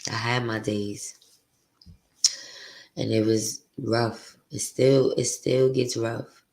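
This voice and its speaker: Deep, gravelly-voiced woman venting bluntly. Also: steady tone, slow, darkish tone. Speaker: podcast host. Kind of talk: candid confessional monologue.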